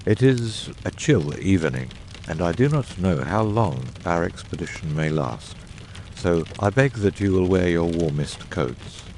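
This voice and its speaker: A man plays a masterful detective in an audiobook drama, with a very deep and dark voice. He is grave and theatrical, speaking in measured, eloquent, commanding phrases. A faint noise sits behind him. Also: animated.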